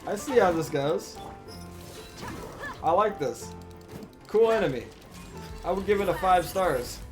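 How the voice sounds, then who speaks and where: A man streaming a video game, giving casual, expressive live commentary with some swearing. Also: high voice, unhurried, music in the background. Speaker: gaming streamer.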